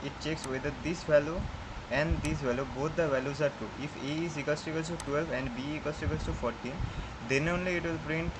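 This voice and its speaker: Halting male coding tutor over loud hum. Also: on the high side, steady tone. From India. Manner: halting, many fillers and restarts. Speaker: programming tutor. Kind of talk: screen-recorded coding lesson. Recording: loud background noise with air conditioning.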